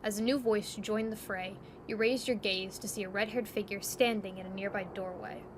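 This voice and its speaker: A woman's voice: mid-range voice, steady tone, moderate pace, a little hiss or hum. A woman narrating a scripted second-person story as the narrator.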